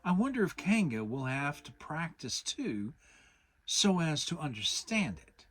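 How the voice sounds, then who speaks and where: A man's voice: a little high, sing-song, unhurried, fairly bright tone, voice pushed up, exaggerated voice. A man voicing a simple-minded, humble toy bear who speaks in an earnest, musing way in a storybook reading.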